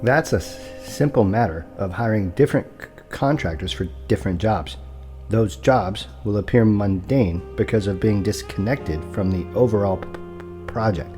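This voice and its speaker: Man playing a dark-voiced, stuttering, formal broker. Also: lowish voice, faint music behind, lightly acted. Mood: calmly businesslike. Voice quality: stutters on hard consonants. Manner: polite, precise, measured, formal. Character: unflappable, formal male property broker with shady services. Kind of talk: audio drama acting.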